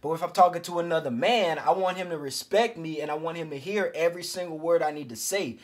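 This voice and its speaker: A man coaching other men on social skills in a casual, energetic monologue, full of slang and swearing, calling viewers G and fellas. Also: a little high, quick pace. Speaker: self-improvement coach.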